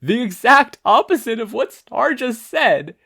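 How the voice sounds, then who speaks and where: A man's voice: extremely high pitch, big pitch swings, a little slow, creaky, pushed and bright, slightly rough, pitched above the performer's own voice, heavily acted. A man voicing an earnest, anxious teenage boy hero with a fretful, urgent manner in a story reading. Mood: strained, anxious.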